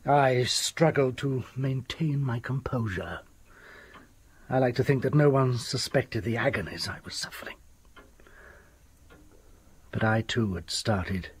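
An actor playing a haunted, desperate older doctor in a radio drama, telling things in a halting, theatrical way with a husky, creaky voice. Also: male voice, fairly low pitch, a little hiss or hum. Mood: fearful, haunted.

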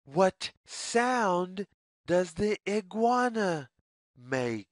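A storyteller reading a simple children's story aloud slowly and deliberately, phrase by phrase, in a sing-song way. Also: medium pitch, sing-song, no echo, studio quality.

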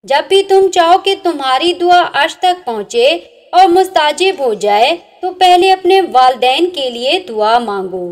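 A woman reading inspirational religious sayings aloud in a measured recitation, with each saying ending on a falling, softer note. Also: sits high, lively intonation. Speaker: narrator.

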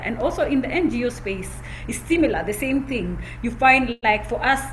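A woman's voice: on the high side, a little hiss or hum, low-quality recording. Practical woman giving business advice. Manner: conversational, practical, with fillers. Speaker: NGO founder and guest speaker. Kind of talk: advice-giving talk on a webinar. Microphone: video call.

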